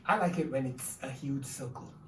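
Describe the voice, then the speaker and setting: A woman's voice: rumbling low, moderate pace. A woman presenting interactive storytelling for children, prompting with questions in an inquisitive manner. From Singapore. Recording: echoey room, distant mic, faint noise.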